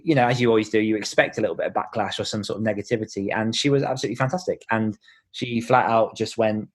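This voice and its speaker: Man giving conversational author interview. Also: medium pitch. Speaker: author guest.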